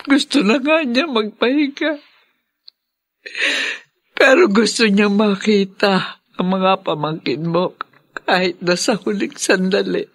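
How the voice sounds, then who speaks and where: A distraught, devoted wife in a radio melodrama. She speaks slowly in a dry studio sound, tearful and emphatic, stretching out her words. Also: mid-range voice, no room sound. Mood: anxious, resolute. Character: distraught, devoted wife and mother searching for lost children. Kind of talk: Filipino radio drama melodrama.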